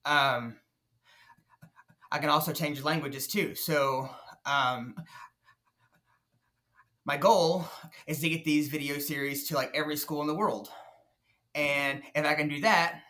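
A man with a fairly high, bright voice, talking as a podcast guest. He stutters and fills his speech with many fillers and restarts. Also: steady tone, a little slow, pauses often. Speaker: podcast guest, nonprofit founder. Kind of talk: casual interview talk.

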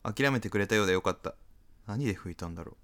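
A man playing an apathetic, antisocial smoker who narrates a radio drama in a deadpan manner. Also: on the low side, racing, natural voice. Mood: deadpan, weary. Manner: deadpan inner monologue. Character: apathetic, antisocial male smoker narrating his reluctant encounters.

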